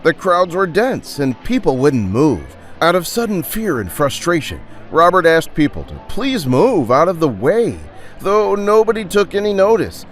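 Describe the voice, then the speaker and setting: A man's voice: fairly high pitch, sing-song, unhurried, hardly any pauses, soft background music. A man narrating a scripted audio drama with theatrical, suspenseful storytelling.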